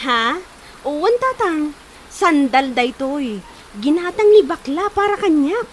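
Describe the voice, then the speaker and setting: A woman's voice: high-pitched, lively intonation, slow, slight background noise, low-quality recording. A woman acting a good-natured, proud village woman in an Ilocano comedy drama, with an Ilocano accent. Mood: earnest.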